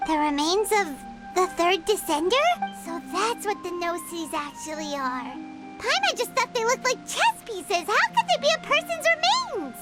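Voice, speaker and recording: A woman voicing a small, excitable, curious fairy companion in an anime-style game, with music behind her. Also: very high voice, moderate pace. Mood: puzzled. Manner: talks of self in third person.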